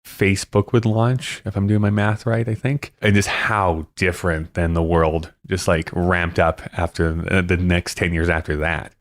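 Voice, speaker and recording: Deep-voiced man weighing things. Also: steady tone, normal speed, few pauses. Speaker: podcast co-host. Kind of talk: casual film-discussion chat.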